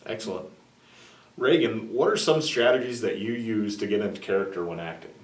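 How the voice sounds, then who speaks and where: Male interviewer, slightly low voice, formal prepared questions, off-mic. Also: expressive, a little fast. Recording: echoey room, distant mic.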